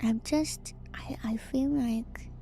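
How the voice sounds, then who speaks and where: A woman giving whispered ASMR commentary as a streamer, in a soft, breathy, hushed and musing way, close to the microphone. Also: fairly high pitch, fairly even intonation, takes it slowly, soft background music. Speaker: streamer, host of a lore breakdown.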